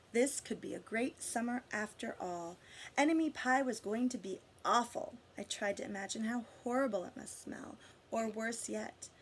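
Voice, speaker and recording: A woman with a slightly high voice reads a storybook aloud to children. She stresses key words, stretches some out and speaks directly to her listeners as she goes. The recording is homemade, with faint noise behind her. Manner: expressive storytelling with dramatic emphasis and drawn-out words. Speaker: adult reading a picture book aloud to children. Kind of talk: storybook read-aloud with asides and questions to listeners.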